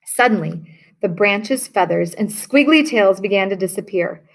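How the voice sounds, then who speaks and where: A woman reading a children's picture book aloud in a lively, expressive way. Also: mid-range voice, moderate pace, poor audio. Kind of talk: read-aloud storybook narration.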